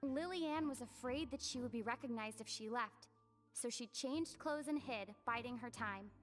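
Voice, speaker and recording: Woman as composed high-voiced young heroine. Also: soft background music, close to an everyday voice. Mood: thoughtful, assured. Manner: composed, deliberate explaining. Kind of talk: measured game dialogue.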